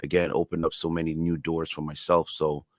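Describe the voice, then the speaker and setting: A man's voice: extremely low pitch, flat delivery, fairly quick, no echo. A man whose first language is French, speaking English as a music artist guest in a casual interview, earnest and emphatic.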